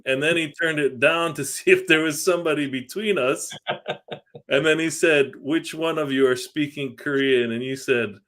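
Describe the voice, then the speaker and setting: A man's voice: a little high, moderate pace, fairly bright tone. A man hosting a podcast, chatting casually with a guest and laughing along as he reminisces. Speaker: podcast host. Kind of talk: casual interview chat.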